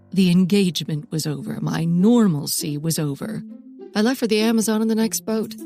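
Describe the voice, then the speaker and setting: Casting: actress for intense female plant explorer, low register, melodramatic confessional delivery, comic audio drama, music underneath. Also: close to an everyday voice. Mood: wistful, lovestruck. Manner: theatrical, confessional, dramatic pauses and hushed emphasis. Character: intense female botanist-adventurer confessing a forbidden love of plants. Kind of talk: comedic audio drama monologue.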